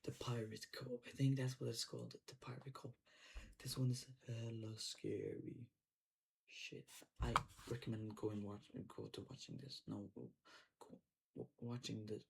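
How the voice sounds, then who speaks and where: A man's voice: medium pitch, flat delivery, normal speed, gravelly, noisy background. A man giving casual reaction commentary in loose, informal, repetitive remarks. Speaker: reaction video creator.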